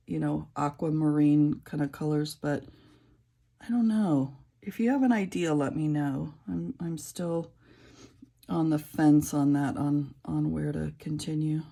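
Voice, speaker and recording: Middle-aged woman, raspy gravelly voice, low pitch, rambling casual chat, lots of fillers. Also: measured pace, dark tone. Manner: rambling, chatty, many fillers and restarts. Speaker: coloring channel creator. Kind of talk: casual chat while coloring.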